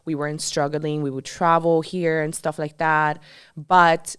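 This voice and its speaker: A woman in her thirties from Colombia, talking as a podcast co-host. Her voice sits low and sounds smooth and clean. She talks in a chatty, emphatic way, full of fillers, on a dry recording. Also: little pitch movement, a little slow, dry recording. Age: 30s. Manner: chatty and emphatic, many "like" and "you know" fillers. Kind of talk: casual podcast chat.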